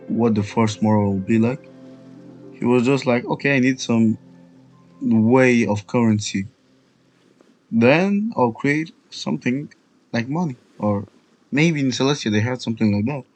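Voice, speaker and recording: A man talks casually through a video game as he plays it, in a medium-pitched voice. Faint music plays behind his commentary. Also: a little mellow. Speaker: let's player.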